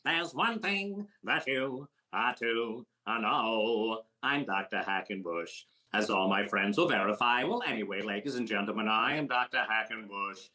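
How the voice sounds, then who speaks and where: Guy doing a fast-talking sharp-toned quack doc, old radio comedy, deadpan jokes. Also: fairly low pitch, full character voice. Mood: singing. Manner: rapid wisecracking patter, punchlines delivered deadpan. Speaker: actor playing a comedian in a radio recreation. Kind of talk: old-time radio comedy with sung patter.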